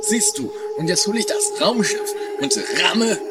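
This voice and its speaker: A man's voice: high-pitched, lively intonation, a little slow, very noisy. A man playing a confident, eccentric spaceship adventurer, theatrical, in a trashy amateur comedy radio play. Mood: confident scheming. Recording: loud music over 1980s radio play.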